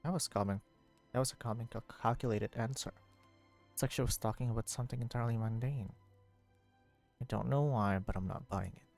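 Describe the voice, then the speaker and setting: A man's voice: mid-range voice, brisk, creaky, long pauses, darkish tone, soft background music. A man streaming a video game, giving casual commentary and thinking aloud as he plays.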